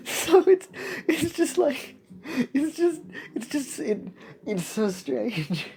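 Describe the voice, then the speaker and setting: A woman's voice: high-pitched, very animated, slow, creaky, mellow, slight background noise. A woman chatting casually as she streams a game, in a playful, giggly, rambling manner. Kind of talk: casual livestream chat over gameplay.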